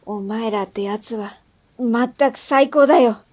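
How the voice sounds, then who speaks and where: An actress playing a tough, brusque female underworld boss and mother in a radio drama, speaking in a rough, mannish, commanding way. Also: fairly high pitch, measured pace, a little mellow. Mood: brisk. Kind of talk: Japanese radio drama acting.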